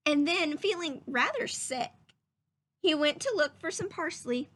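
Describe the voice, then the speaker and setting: A woman reading a children's story aloud in an instructive, teacherly manner, pausing to put questions to young listeners. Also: high-pitched, lively intonation, a bit bright. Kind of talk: children's read-aloud narration.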